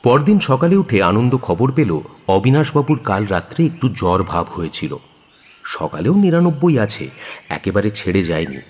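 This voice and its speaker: Male narrator, low-pitched, husky, very expressive intonation, audio story. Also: normal speed. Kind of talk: scripted dramatic story narration.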